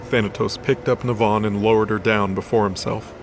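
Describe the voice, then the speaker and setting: A man's voice: on the low side, fairly even intonation, a little fast, a little hiss or hum. A man reading scripted story narration for an audio drama.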